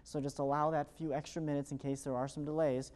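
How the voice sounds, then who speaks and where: An American man delivers a morning TV weather forecast. He talks quickly and fluently in an upbeat, polished presenter's style, moving briskly from one point to the next. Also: fairly high pitch, flat delivery, darkish tone. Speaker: television meteorologist.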